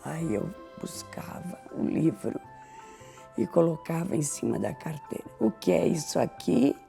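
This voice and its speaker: Older woman, slow and lively telling of old memories, dark gravelly voice. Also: low-pitched, soft background music. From southern Brazil. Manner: animated storytelling, acts out classroom scenes. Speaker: interviewee. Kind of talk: recounting memories.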